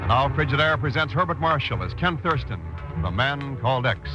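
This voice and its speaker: A man's voice: mid-range voice, expressive, fairly quick. A man announcing and narrating a 1940s radio drama in a declamatory, portentous style. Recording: dull vintage radio recording. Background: loud music.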